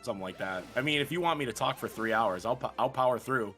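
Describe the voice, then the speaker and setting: A man's voice: medium pitch, lively intonation, fast, fairly bright tone, faint music behind. A man hosting a livestreamed speedrun event, projecting like a broadcaster while fighting off a sickness. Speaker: host and commentator of a speedrun event. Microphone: own microphone at a desk.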